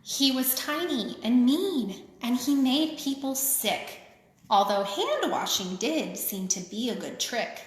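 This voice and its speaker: A woman reading a picture book aloud to children, with expressive, simple phrasing and chatty asides. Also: sits high, slow pace. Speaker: children's author reading her own book. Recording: echoey, reverberant room.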